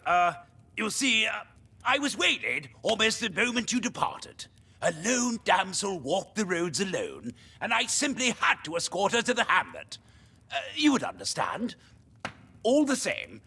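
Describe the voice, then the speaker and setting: An actor playing a boastful, jovial gentleman hunter in a video game, with a pompous, theatrical, blustering manner. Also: high voice, huge pitch swings, a little slow, gravelly, slightly rough, full character voice. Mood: sheepish bluster. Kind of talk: video game character acting.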